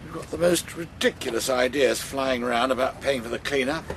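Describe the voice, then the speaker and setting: A man's voice: mid-range voice, a little fast, fairly bright tone, natural voice. An actor playing a stubborn, aggrieved older English farmer and father in a radio soap, defensive and exasperated, with an English accent. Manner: defensive, self-justifying, exasperated. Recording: vehicle noise behind dialogue.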